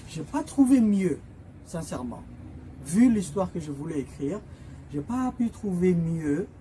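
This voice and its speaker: A man's voice: high voice, very animated, a little slow, warm tone, slight background noise. A man whose first language is Somali, an author presenting his books in an informal talk, hesitating often with filler sounds and restarts as he explains. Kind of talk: informal spoken book presentation.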